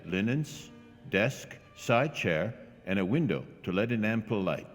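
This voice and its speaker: A man playing a formal, courteous hospital president voicing a letter in a radio drama. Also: fairly low pitch. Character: formal male hospital president reading his welcome letter. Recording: long hall reverb with music behind.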